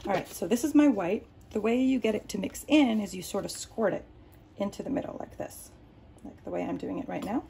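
A woman's voice: mid-range voice, a little fast, creaky, mellow, a little hiss or hum. A woman whose first language is Arabic, hosting a painting tutorial in a home studio and explaining as she works, with lots of ums and restarts. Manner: rambling, many ums and restarts. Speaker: art tutorial host. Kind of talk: casual explaining while working. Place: home art studio, indoors.

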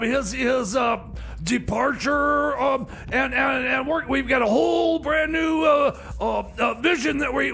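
A man's voice: very high voice, creaky, fairly bright tone, heavily acted. A man playing a flustered, defensive rookie host in radio comedy, stammering and repeating himself as he hesitantly rambles. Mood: nervous, excited. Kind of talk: comedy radio theater, live music-show hosting.

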